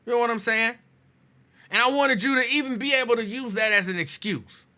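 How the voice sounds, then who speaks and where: A man's voice: very high voice, a little fast, pushed and bright. A male talk show host losing his voice, chatting with listeners and repeating phrases. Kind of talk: casual talk show chat.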